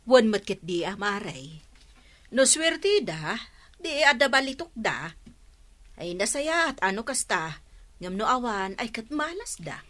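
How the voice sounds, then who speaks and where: A woman's voice: fairly high pitch, very animated, measured pace, pushed and bright, heavily acted. An actress playing an inquisitive, skeptical village woman in an Ilocano radio drama. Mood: curious.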